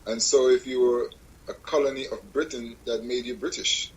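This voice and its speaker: A husky-voiced man, a guest chatting on a radio show. He talks at an easy middle pitch, circling back and correcting himself as he tells his story, with wry little jokes along the way. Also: fairly even intonation, moderate pace, rough recording. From Jamaica. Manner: rambling, self-correcting, laughs at own jokes. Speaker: guest musician. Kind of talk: casual interview conversation.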